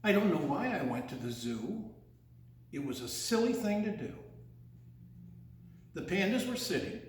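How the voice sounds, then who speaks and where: A man's voice: high voice, darkish tone. An older man reading a picture book aloud to children in a theatrical, singsong way, with teasing asides. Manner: theatrical, singsong, drawn-out delivery with teasing questions. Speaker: children's storyteller. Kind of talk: read-aloud picture-book story with playful asides to young listeners. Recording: very echoey, reverberant room.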